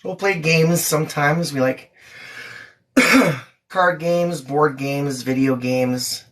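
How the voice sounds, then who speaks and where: A woman's voice: deep voice, fairly even intonation, takes it slowly. A woman hosting a livestream, talking in a casual, informal chat style. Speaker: livestream host and parent.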